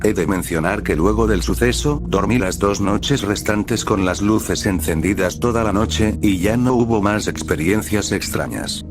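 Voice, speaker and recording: A man's voice: lowish voice, a little slow, few pauses, music in the background. A man, reading first-person scary stories as a scripted narration for a horror channel.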